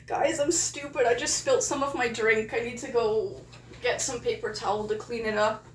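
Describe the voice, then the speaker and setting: A woman's voice: fairly high pitch, fairly even intonation, normal speed, roomy sound. A young woman born in England with a Canadian accent, chatting casually to her stream chat while rearranging her desk setup. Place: small rented room at a desk.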